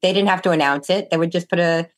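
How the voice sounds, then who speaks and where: A woman's voice: lowish voice, quick pace. An older woman talking casually as an interview guest, recounting her experiences. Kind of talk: casual conversational storytelling.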